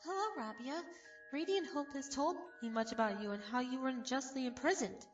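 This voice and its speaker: A woman acts out a young cartoon pony, hopeful and trusting. Her voice is high and gentle, a little away from the mic, with faint music underneath. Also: fairly quick, recorded from a distance, dull audio, lightly acted. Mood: polite, hopeful. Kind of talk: animated fan dub voice acting.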